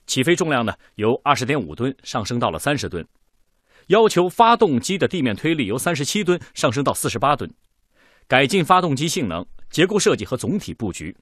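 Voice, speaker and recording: Confident engineer explaining his design in a radio drama, super animated, close dry sound. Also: medium pitch, fairly quick, dry recording. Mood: confident, explanatory. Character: practical, confident male engineer presenting a cautious design. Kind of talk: radio drama acting, technical presentation.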